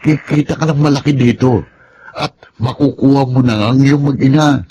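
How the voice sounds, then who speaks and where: Casting: male actor as smooth-talking ex-inmate pal, Filipino accent, mid-pitched, radio drama, faint noise behind. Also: steady tone, moderate pace, mellow, lo-fi sound, close to an everyday voice. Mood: persuasive. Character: chummy, persuasive ex-inmate friend tempting a pal. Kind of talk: Tagalog radio drama acting.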